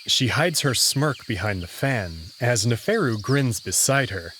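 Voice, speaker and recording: A man's voice: medium pitch, animated, unhurried, a bit bright, slight background noise. A man narrating a scripted first-person story as its main character. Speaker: narrator and reader of the player character.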